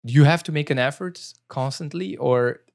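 Brazilian-accented male podcast host, gravelly, inquisitive and informal. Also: a little high. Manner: curious, halting questions with restarts. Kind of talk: casual interview.

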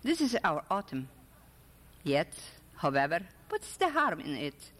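A woman voicing a gentle, reassuring older woman in love in a literary story reading. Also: low voice, big pitch swings, measured pace, exaggerated voice. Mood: quiet, hopeful. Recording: echoey hall with long reverb.

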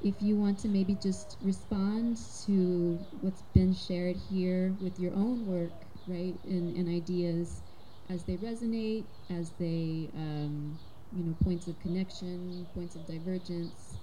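A woman's voice: lowish voice, fairly even intonation, takes it slowly, mellow, a little hiss or hum. A woman facilitating a community panel, thanking speakers and introducing the next guest, with frequent fillers.

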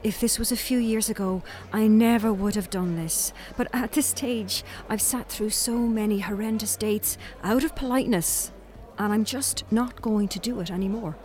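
A woman's voice: mid-range voice, a little mellow, close to an everyday voice. An actress with an Irish accent playing a frank, weary woman on a first date in an audio drama. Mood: weary, irritated. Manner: frank, dry, brisk. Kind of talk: naturalistic audio drama dialogue.

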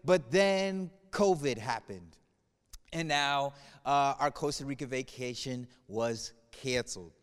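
A man's voice: mid-range voice, takes it slowly, clean tone. A man in his thirties from the United States, giving an earnest video message to a congregation, moving between playful humor and somber reflection. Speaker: church speaker. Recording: reverberant room.

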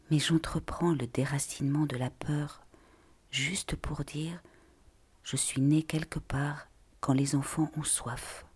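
A narrator reads poetic prose in a hushed, deliberate, breathy voice that often drops to a near whisper. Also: mid-range voice. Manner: slow, hushed, deliberate delivery. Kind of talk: literary reading of poetic prose.

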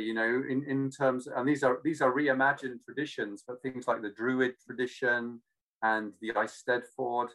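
Man, British accent, medium pitch, casual conversation, reflective, hesitant, searching for words. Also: fairly bright tone, poor audio. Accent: British, not Welsh. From Cardiff, Wales. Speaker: interview guest.

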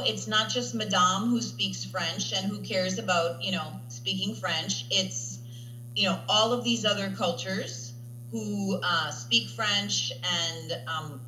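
A woman's voice: mid-range voice, measured pace, bright, forceful tone, roomy sound, slight background noise, poor audio. A woman language teacher talking casually in an interview and often checking in with "right?". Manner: chatty, tags statements with "right?". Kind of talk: interview conversation.

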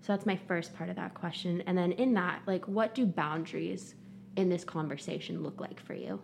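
A woman's voice: on the low side, animated, normal speed, vocal fry, a little hiss or hum. A woman hosting a podcast, asking careful questions in a casual conversational way with many fillers. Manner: warm, tentative questioning with frequent fillers. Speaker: podcast host.

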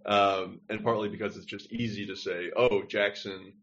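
A man's voice: lowish voice, fairly even intonation, moderate pace, fairly bright tone, lo-fi sound. A man co-hosting a podcast, talking in a digressive, think-aloud way over a remote call. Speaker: podcast co-host. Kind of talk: casual discussion.

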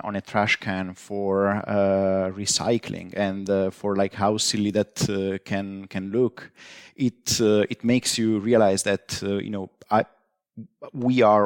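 A man's voice: fairly low pitch, smooth, no room sound, studio quality. A man in his thirties from Italy, speaking in a podcast interview with frequent hesitations and restarts. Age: 30s. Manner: hesitant, many uh fillers and restarts. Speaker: guest.

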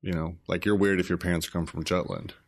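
A man's voice: deep voice, fairly even intonation, brisk, creaky. A man hosting a casual interview chat, easygoing and chatty. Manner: easygoing, chatty, laughs often. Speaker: podcast host.

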